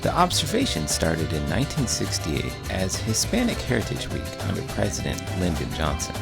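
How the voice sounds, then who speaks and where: Deep-voiced man reading aloud with lively swings. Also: normal speed, creaky, over loud music. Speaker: teacher reading children's books aloud. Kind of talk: expressive scripted read-aloud narration.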